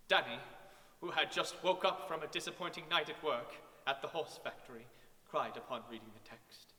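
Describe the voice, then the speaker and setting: A man reads a comic story as grand drama, slowly and theatrically. His voice is fairly high and piercingly bright, with long reverb from a hall. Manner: theatrical, with exaggerated pauses and swells. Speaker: story reader. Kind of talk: mock-serious dramatic reading of comic story.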